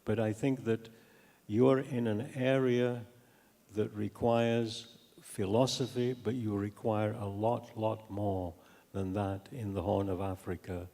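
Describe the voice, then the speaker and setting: An older man, a professor, gives an academic talk and answers questions in a measured, halting, deliberate way. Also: on the low side, flat delivery, warm tone. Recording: echoey hall with long reverb.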